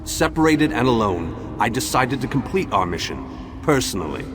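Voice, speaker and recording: An actor playing a pompous, self-assured great wizard in a video game, speaking in a grand, formal, theatrical way. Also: male voice, mid-range voice, very animated, normal speed, background noise, close to an everyday voice. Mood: smug, confident. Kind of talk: video game character acting. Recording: loud music behind the voice.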